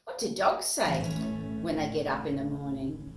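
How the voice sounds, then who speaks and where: A woman reading a story aloud to young children in an expressive, dramatic way, with asides to her listeners. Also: low-pitched, moderate pace. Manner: expressive, dramatic gasps and exclamations. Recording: roomy echo, distant mic, music.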